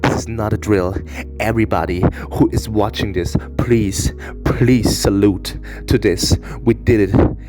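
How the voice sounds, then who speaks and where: Deep-voiced man with theatrical reactions. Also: fairly even intonation, unhurried, music in the background. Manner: theatrical, exclamatory, swearing reactions. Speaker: streamer playing a visual novel. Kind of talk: let's play commentary and read-aloud.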